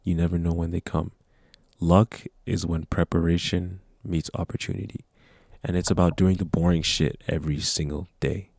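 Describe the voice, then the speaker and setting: A man's voice: deep voice, little pitch movement, normal speed, gravelly, a little mellow, no echo. A nineteen-year-old man talking casually to camera, giving earnest, rambling motivational advice. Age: young adult. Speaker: vlogger.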